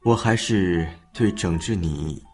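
A man voicing a calm, dangerous young official who speaks slowly and coldly in an audio drama. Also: low voice, faint music behind. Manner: slow, cold, quietly threatening. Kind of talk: multi-cast web audio drama.

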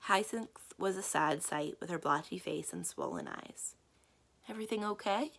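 A young woman reading a children's book aloud as a library storyteller, with measured, expressive narration. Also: deep voice, gravelly. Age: young adult.

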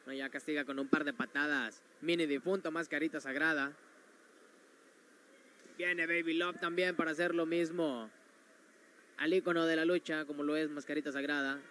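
A man's voice: fairly high pitch, fairly even intonation, normal speed, pauses often, bright, forceful tone, slight background noise. A man calling wrestling moves play by play as they happen, in a gym arena. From Mexico. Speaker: wrestling commentator. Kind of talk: play-by-play commentary.

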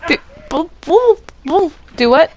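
A woman chatting casually in a game voice chat, hesitant and halting, often sounding confused. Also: very high-pitched, sing-song, a little hiss or hum. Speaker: gamer in a group roleplay.

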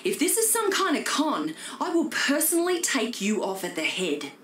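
Tough, expressive game survivor. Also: female voice, high voice, normal speed, heavy background noise. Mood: suspicious, threatening. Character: tough, suspicious woman survivor who challenges people. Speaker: actor in a video game cutscene. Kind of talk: dramatic game cutscene acting.

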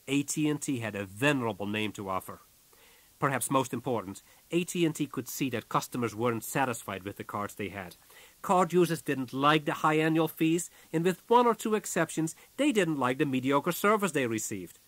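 A man reading a business audiobook from a script in a measured, businesslike way, as its author. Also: mid-range voice, a bit bright, no room sound.

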